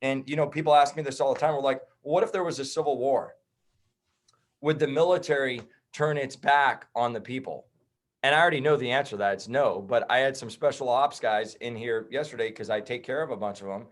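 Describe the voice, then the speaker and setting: A man's voice: mid-range voice, fairly quick. A man in his thirties, a chiropractor guesting on a podcast, talking bluntly and heatedly in casual chat. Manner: blunt, heated, swears and says bro.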